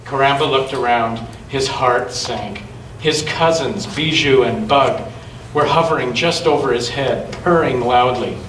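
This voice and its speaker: A man reading a picture book aloud to children and chatting gently with them in a church. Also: mid-range voice, unhurried. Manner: gentle question-and-answer talk with children. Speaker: storytime reader at a church children's gathering. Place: large echoey church room with children. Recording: echoey noisy room, distant mic.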